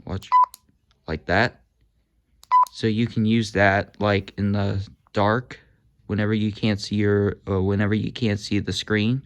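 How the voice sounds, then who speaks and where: Slow, halting man demoing gadgets. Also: on the low side, level tone, clear, resonant tone. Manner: halting, many uhs and ums, talks while handling gear. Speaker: hobby video host demonstrating walkie-talkies. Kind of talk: casual hands-on demo.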